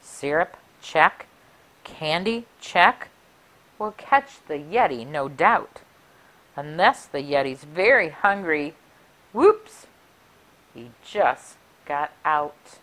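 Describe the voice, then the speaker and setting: A middle-aged woman reading a rhyming picture book aloud for children in an expressive, deliberate, sing-song way. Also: sits low. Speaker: library story time reader. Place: small furnished room indoors. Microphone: clip-on lapel mic.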